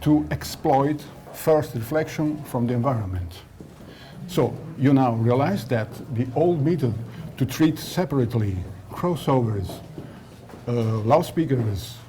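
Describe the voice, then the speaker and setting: Mellow man, halting explainer. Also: mid-range voice. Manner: halting, searching for words, didactic. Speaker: loudspeaker designer being interviewed. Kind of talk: technical explanation in an interview. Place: audio trade show in Milan. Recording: noisy trade show interview, background ambience.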